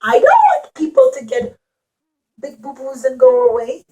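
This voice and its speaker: A woman's voice: high voice, huge pitch swings, normal speed, darkish tone, heavily acted, rough recording. A woman voicing a reproachful yet forgiving God, speaking simply and emphatically in a children's puppet story. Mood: gently reproachful.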